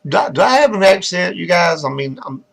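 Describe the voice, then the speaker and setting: A hoarse, raspy man with a Southern US accent, hosting a live talk show in a loose, chatty way and greeting viewers by name. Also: high-pitched, big pitch swings, fairly quick, fairly bright tone. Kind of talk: casual rambling live-stream chat.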